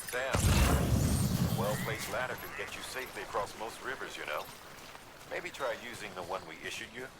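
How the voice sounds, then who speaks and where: An actor playing an earnest male handler who gives a courier measured, instructive advice in a video game. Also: on the low side, animated, lightly acted. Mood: advisory. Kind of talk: scripted video game guidance dialogue. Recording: loud noise and ambience behind.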